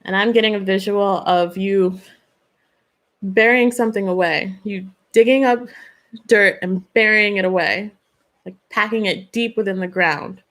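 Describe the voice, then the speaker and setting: Woman doing a spiritual live stream, earnest and direct, talks to viewers by name, pitch right in the middle. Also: steady tone, unhurried. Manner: asks questions and gives gentle advice.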